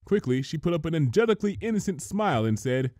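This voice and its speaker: A man's voice: on the high side, sing-song, fast talker, a little mellow, no room sound, studio quality. A man reading a story aloud as a scripted narrator.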